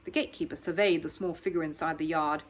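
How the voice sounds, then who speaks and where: A woman narrating a children's story in an expressive, scripted read-aloud style. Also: deep voice, quick pace.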